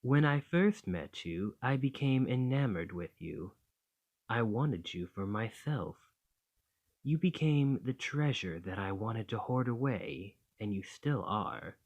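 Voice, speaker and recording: A man voicing a gentle, bashful dragon in a romantic ASMR roleplay, tender and hesitant, doting on a partner. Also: on the low side, muffled recording. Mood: tender, smitten. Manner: flustered, hesitant, tender, with sighs and stammers.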